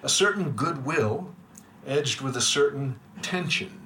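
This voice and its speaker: An older man reads a reflective talk aloud in a measured, literary way. His voice is bright and forward, and it sinks softly at the ends of lines. A faint hum sits behind him. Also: medium pitch, expressive. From United States. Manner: measured and literary, dropping quiet at line ends. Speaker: speaker giving a lecture. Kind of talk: reading a prepared reflective talk. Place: room with a live audience.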